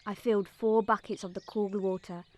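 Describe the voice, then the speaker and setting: A woman's voice: mid-range voice, steady tone, normal speed, lo-fi sound, close to an everyday voice. Woman acting an eager village girl, noisy recording. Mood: reflective. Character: eager young Punjabi village girl recounting her day. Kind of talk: first-person dramatic narration.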